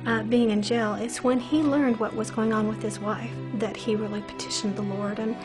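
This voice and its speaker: High-voiced middle-aged woman in an interview. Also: fairly even intonation, normal speed. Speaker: documentary interviewee. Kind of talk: interview commentary on history. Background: music.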